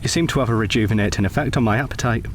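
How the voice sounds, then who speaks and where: A man playing a kindly, wry Edwardian gentleman veteran. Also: fairly low pitch, very fast, a little hiss or hum, lightly acted. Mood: guarded, wry. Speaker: actor in a radio play. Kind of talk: scripted radio drama dialogue.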